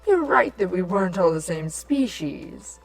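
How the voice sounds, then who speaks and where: Woman voicing a slow, cooing changeling queen. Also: lowish voice, animated, vocal fry, soft background music, rough recording. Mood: doting. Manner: cooing, drawn-out, theatrical. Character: villainous changeling queen turned sugary, lovestruck, doting mother. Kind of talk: fan fiction audio drama acting.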